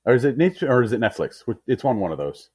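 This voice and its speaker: A man co-hosting a podcast in relaxed, casual conversation. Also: medium pitch, quick pace, husky, no room sound. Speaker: podcast co-host. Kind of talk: casual chat.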